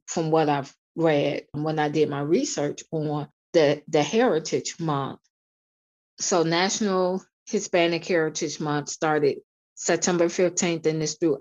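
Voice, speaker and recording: A woman hosting an interview, asking questions in a measured, encouraging way. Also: deep voice, a little mellow. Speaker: interviewer, host. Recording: dull lo-fi audio.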